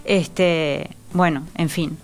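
A woman in her thirties from Uruguay, with a Uruguayan accent softened by years in Spain, answering interview questions thoughtfully with frequent pauses and fillers. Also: low-pitched, steady tone. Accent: Uruguayan Spanish mixed with Spain. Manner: thoughtful, hesitant, many fillers. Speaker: writer, interview guest. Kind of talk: conversational interview.

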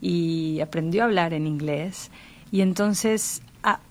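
A middle-aged woman with a Mexican accent and a somewhat low voice. She talks in a reflective, literary way, choosing her phrases thoughtfully and expressively, as a guest in conversation. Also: takes it slowly. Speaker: interviewee, writer.

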